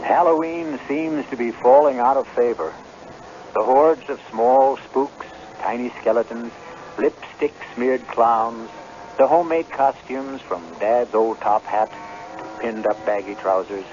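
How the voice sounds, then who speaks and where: A man's voice: medium pitch, a little slow, a little mellow, slight background noise. A man hosting a radio mystery drama, reading dramatic scripted narration. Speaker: host and narrator. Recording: muffled old radio broadcast. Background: music.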